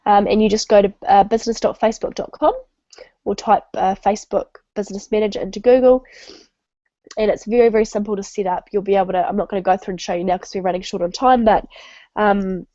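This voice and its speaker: A woman's voice: mid-range voice, steady tone, fast, dark tone, no echo. Woman w a cold, nasal and stuffy, lots of ums, dull lo-fi webinar audio. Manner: casual, many ums and restarts. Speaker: webinar presenter. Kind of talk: online training talk. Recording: dull lo-fi web call audio.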